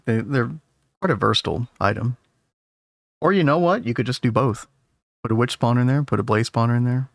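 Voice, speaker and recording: A male streamer talks through a game in an easygoing, wandering way, chatting to his viewers as he works. His voice is slightly hoarse from overuse, sitting at a middle pitch. The sound is dry and close, with no room around it. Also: brisk, pauses often, no echo. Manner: rambling, easygoing, talks to chat. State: losing his voice. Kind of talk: casual gameplay commentary.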